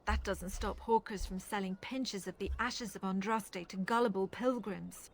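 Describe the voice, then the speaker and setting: An actress playing a thoughtful, sceptical religious scholar in a fantasy game, speaking earnestly and articulately. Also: female voice, mid-range voice, normal speed, lightly acted.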